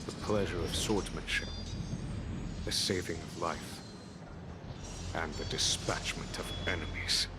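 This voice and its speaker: Deep-voiced, steadfast wise general. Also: male voice, heavy background noise. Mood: solemn, assured. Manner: measured, solemn, assured. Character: calm, wise male general, steadfast mentor. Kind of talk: dramatic video game voice acting. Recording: loud music under the voice.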